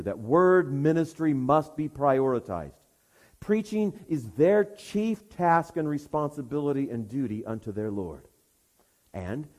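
A man preaching an expository sermon in an emphatic manner, with rhetorical questions and deliberate pauses for weight. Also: mid-range voice, darkish tone.

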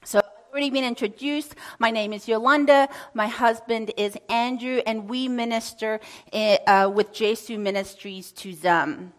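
A woman missionary with a booming voice, speaking upbeat to a church congregation and thanking them warmly. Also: normal speed.

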